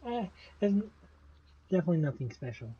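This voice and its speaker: A man's voice: high-pitched, big pitch swings, a little fast, lo-fi sound, a little hiss or hum. A man streaming a video game, giving casual, informal and reactive commentary with frequent exclamations.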